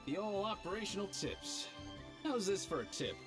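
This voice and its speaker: Cocky food stall cook in a game, lively up and down voice, music behind. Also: male voice, high-pitched, normal speed. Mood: confident. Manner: relaxed, self-assured, a little cocky. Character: confident male street-food cook, busy and boastful. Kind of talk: video game voice acting.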